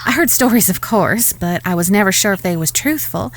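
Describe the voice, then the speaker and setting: A woman's voice: mid-range voice, expressive, brisk. A woman playing a proud, wronged Old West ranch woman with a Southern American accent in a Western audio drama. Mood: gentle, wistful.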